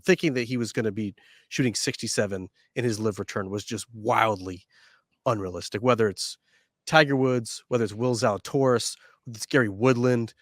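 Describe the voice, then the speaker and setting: A man with a mid-range voice co-hosts a casual sports podcast, his words a little nasal and stuffy from a head cold. He talks in a measured, somewhat worn-out way. The sound is dry and close, with no room echo. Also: medium pitch. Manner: measured, slightly tired delivery. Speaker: podcast co-host. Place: studio.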